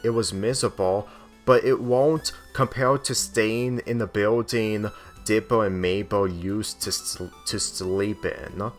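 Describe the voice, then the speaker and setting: A sheltered young rich girl, guarded and dry, in a story reading, a man doing her in his low voice. Faint music runs behind the words. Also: steady tone, takes it slowly. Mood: dry, miserable. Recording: music under the voice.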